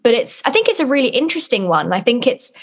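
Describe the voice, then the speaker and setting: A woman's voice: on the high side, sing-song, fast talker. A young British woman co-hosting a podcast, chatting casually and telling stories in a loose, rambling conversational way. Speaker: podcast co-host.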